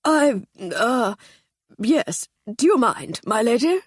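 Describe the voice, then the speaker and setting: Brief: woman voicing a blustering upper-class captain, animated delivery, dry close audiobook sound. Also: very high voice, slow, no room sound, voice pushed up, full character voice. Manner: pompous, blustering. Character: pompous breezy upper-class male army captain.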